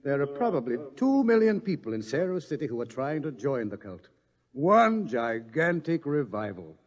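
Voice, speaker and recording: An actor playing a sardonic, world-weary scientist in a radio drama, wry and theatrical in delivery. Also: male voice, mid-range voice, sing-song, normal speed, long reverb tail, highs cut off, lightly acted. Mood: brooding, wry. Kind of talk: mid-century radio drama acting.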